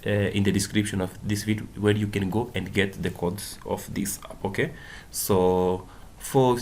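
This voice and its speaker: Portuguese-speaking man teaching code. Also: slight background noise. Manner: casual, repeats "okay" and "boom" often; non-native English with small slips. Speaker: coding tutorial host. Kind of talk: screen-recorded coding walkthrough.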